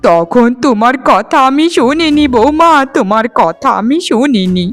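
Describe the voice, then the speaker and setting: A woman's voice: on the high side, expressive, unhurried. A woman voicing a greedy, self-pitying older village mother-in-law who laments in cartoon melodrama style. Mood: lamenting, regretful. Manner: lamenting, repetitive wailing.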